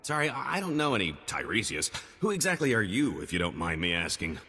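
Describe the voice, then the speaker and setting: An actor playing a slippery alien smuggler who passes himself off as a glib merchant in a video game. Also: male voice, lowish voice, big pitch swings, a little fast, bright, forceful tone, husky, big-room sound, heavily acted. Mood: feigned innocence. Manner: glib, evasive salesman patter.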